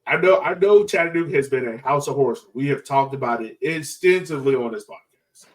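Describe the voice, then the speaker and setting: A man's voice: medium pitch, a little fast. Male soccer podcaster, distant from the mic. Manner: animated, slangy, exclaiming. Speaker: sports podcast host. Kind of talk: casual fan chat about soccer.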